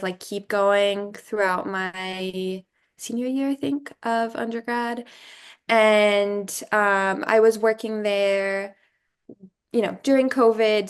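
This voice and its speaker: A young woman from Brazil reflects on her studies and work in a casual interview. Her voice is smooth and clear, set at a middle pitch. She talks thoughtfully, pausing and filling gaps with "um" and "you know.". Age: young adult. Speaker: alumna guest.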